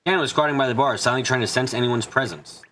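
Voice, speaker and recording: A man's voice: mid-range voice, fast, fairly bright tone, slight background noise. A man reading a story aloud as an amateur narrator, stumbling over lines and correcting himself. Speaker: streamer reading a visual novel aloud in translation.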